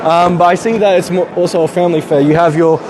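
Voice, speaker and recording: A man interviewing guests at a gala dinner, friendly and chatty, quick to agree. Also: high-pitched, fairly even intonation, rough recording. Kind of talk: upbeat event interview. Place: crowded hotel function room. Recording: loud crowd chatter.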